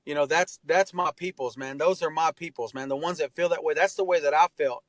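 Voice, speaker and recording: Man, around forty, earnest, heartfelt, slightly high voice, dry close sound. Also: a little fast, dry recording. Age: 40s. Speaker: interview guest, artist and tattoo artist. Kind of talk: candid conversational interview.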